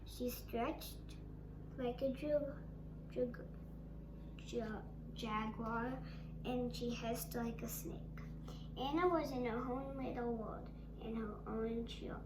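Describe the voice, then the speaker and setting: High-pitched halting storybook reader, female. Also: distant mic. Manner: halting, sounding out words, acts out quotes. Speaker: read-along reader. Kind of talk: storybook read-aloud. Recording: roomy sound with faint background noise.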